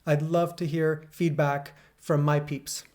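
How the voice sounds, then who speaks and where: A man with a deep, bassy voice hosting a casual gear review, chatty and upbeat as he walks through features step by step. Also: level tone, slow pace, a little mellow. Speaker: gear reviewer and channel host.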